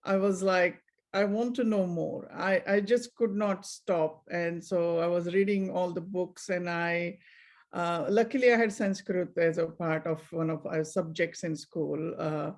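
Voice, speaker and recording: A woman's voice: lowish voice, fairly even intonation, unhurried. A woman hosting a podcast, interviewing in a casual, conversational style. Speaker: podcast host.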